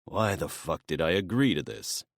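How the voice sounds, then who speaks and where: A man voicing a grumbling, reluctant male god with a gruff, irritable manner. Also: low voice, animated, normal speed, dry recording, studio quality. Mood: irritated.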